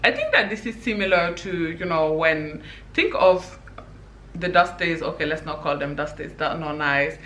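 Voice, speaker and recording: A woman's voice: sits low, animated, normal speed, fairly bright tone, roomy sound, slight background noise. A woman from Senegal giving her opinions in a casual vlog, talking emphatically with many "you know"s. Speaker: vlogger.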